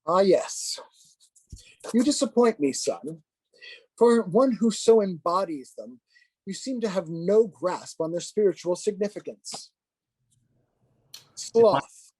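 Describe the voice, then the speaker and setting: A man's voice: high voice, expressive, darkish tone, husky, no room sound, exaggerated voice, low-quality recording. Stern, dryly sardonic priest played by a man. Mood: disapproving. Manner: formal, measured, lecturing. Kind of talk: dramatized play script reading.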